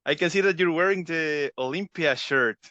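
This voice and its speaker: Host, male, tense bright tone, very dry lo-fi video-call sound, friendly and a bit halting. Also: a little high, no echo. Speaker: podcast host. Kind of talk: interview questions. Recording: dull, lo-fi audio.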